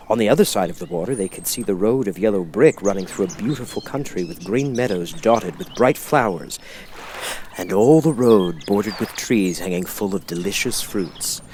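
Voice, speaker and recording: A man reading a children's storybook aloud as an expressive audiobook narrator. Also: low-pitched, slight background noise.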